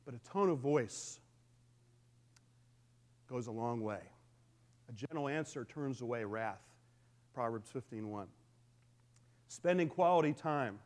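A middle-aged man with a booming voice, preaching a conversational sermon to his congregation. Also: unhurried, pauses often. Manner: lively, conversational preaching with call-and-response. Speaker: pastor.

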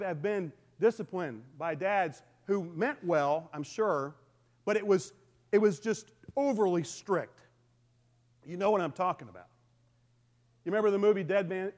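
A middle-aged man with a booming voice, preaching a conversational sermon to his congregation. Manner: lively, conversational preaching with call-and-response. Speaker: pastor.

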